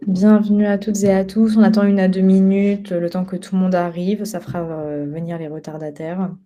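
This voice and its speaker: Soft-toned young woman hosting a webinar. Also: medium pitch, flat delivery, normal speed. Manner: conversational with frequent hesitations. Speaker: project officer and webinar co-host. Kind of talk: live webinar presentation. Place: office at headquarters.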